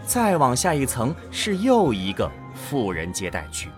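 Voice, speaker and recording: A man's voice: mid-range voice, wildly swooping pitch, measured pace, faint music behind. A man narrating a scripted science fiction audio drama.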